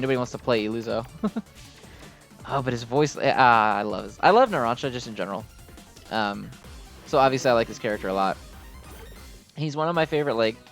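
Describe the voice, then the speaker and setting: A man's voice: medium pitch, a bit bright. A man giving casual, rambling gameplay commentary with a fan's enthusiasm and some mild swearing. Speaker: gamer commenting on own gameplay.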